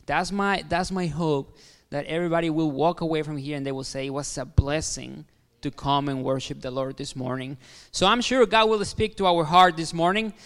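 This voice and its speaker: A man with a Mexican Spanish accent preaches in a friendly, halting way, full of fillers and restarts. His voice sits a little high and sounds smooth and resonant. A long reverb trails behind him in a big hall. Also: fairly bright tone. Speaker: guest pastor. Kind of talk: sermon. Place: large hall.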